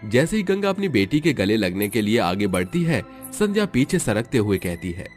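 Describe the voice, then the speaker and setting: A man's voice: fairly low pitch, very animated, normal speed, darkish tone. A man reading scripted, dramatic storytelling narration. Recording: music under the voice.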